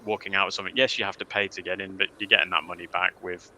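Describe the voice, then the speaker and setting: Very bright, low-pitched man. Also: level tone, rapid-fire, rough recording. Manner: enthusiastic, appreciative. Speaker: livestream panellist. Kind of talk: casual chat.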